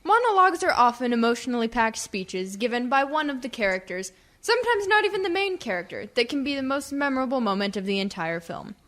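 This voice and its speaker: A woman hosting a radio comedy with scripted, clearly enunciated, showy presenter narration. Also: on the high side, big pitch swings, fast, few pauses, a bit bright.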